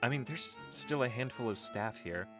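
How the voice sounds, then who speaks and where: A man's voice: medium pitch, expressive, moderate pace, fairly bright tone, soft background music, natural voice. An actor playing a wry, exasperated young man in an audio drama, with a theatrical, sarcastic delivery. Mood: skeptical.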